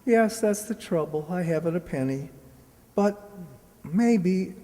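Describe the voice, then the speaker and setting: A woman's voice: on the low side, expressive, slow pace, mellow. A woman playing a polite, earnest poor boy in an amateur radio play, hesitant and deferential. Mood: wistful, eager. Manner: deferential, hesitant, eager to please. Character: polite, earnest, humble poor boy seeking work in London. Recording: echoey hall, long reverb.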